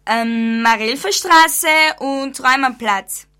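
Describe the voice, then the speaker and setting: A teenage schoolgirl hosts a scripted chat on a student radio show and keeps the questions coming. Her voice is high and bright. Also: takes it slowly, clear, resonant tone. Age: teens. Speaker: student presenter.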